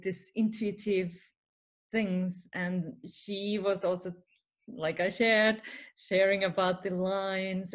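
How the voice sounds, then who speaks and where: Slow-talking Finnish woman, earnest guest. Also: medium pitch. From Finland. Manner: earnest, laughs often, non-native phrasing. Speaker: guest, spiritual mentor. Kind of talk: casual podcast interview.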